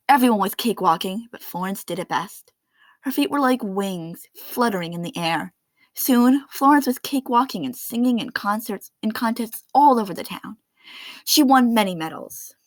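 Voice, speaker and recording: A woman reading a children's picture book aloud in an expressive storytelling style. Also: fairly high pitch, dry recording. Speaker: read-aloud narrator of children's books.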